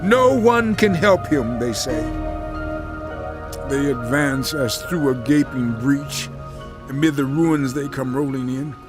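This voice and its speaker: An actor playing a suffering righteous man in a dramatized audio Bible, with solemn, impassioned, measured delivery. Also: medium pitch, expressive, over music. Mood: bitter, aggrieved.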